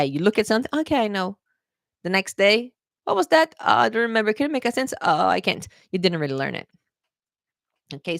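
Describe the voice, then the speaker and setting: Brazilian woman teaching with lively gravelly voice. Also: on the low side, no room sound, clean studio sound. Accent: Brazilian Portuguese. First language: Portuguese. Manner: chatty, repeats key points, addresses viewers as guys. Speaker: English teacher. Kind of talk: live-streamed exam prep lesson.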